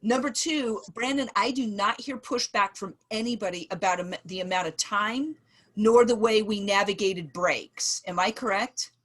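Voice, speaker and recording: A woman in her forties runs a video call meeting in a mid-pitched voice. She lays out her points in order, one number at a time. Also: moderate pace, fairly bright tone. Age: 40s. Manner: organized, summarizing in numbered points. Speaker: program facilitator.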